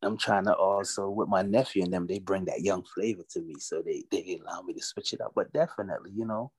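A man in his forties from Jamaica, raised in Brooklyn, chatting as an interview guest, relaxed and full of "you know.". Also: low voice, fast talker, breathy edge, no room sound. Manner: relaxed, repeats himself, many "you know"s. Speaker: guest musician. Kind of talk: casual interview talk.

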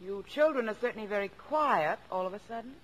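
A woman's voice: mid-range voice, expressive, fast, lightly acted. An American actress in a mid-century radio drama, playing a shrewd, composed, middle-aged mother of a large family. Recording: noisy old radio broadcast recording.

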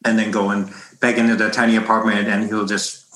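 A man's voice: on the low side, little pitch movement, fairly quick, a bit bright, reverberant, poor audio. A man in his forties from Germany with a German accent, talking casually in an interview about his life as a baking teacher, rambling with many restarts. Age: 40s. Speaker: bread baking instructor.

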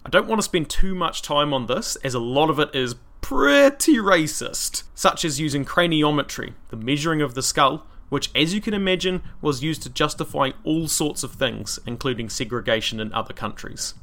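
Podcast host, male, New Zealand accent, bright and forceful tone, scripted history read with wry humour. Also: on the high side, animated, normal speed, few pauses. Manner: dry asides and wry humour.

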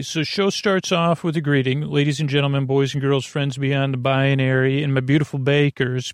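A man hosting a sleep podcast in a rambling, conversational bedtime monologue, halting and drifting off on tangents in a gravelly voice. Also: mid-range voice, no room sound, clean studio sound.

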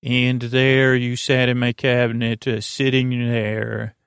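An American man hosting a sleep podcast in a rambling monologue, halting and often interrupting himself as he meanders along. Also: mid-range voice, monotone, gravelly, fairly bright tone, clear, resonant tone, no echo, studio quality. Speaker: podcast host.

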